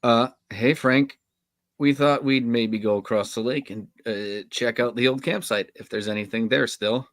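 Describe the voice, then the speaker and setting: A man's voice: mid-range voice, fairly even intonation, moderate pace, dry recording, natural voice. Man reading as hesitant young camper, very dry sound. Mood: nervous. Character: hesitant young male camper, skeptical friend. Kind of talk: live table read of a horror script.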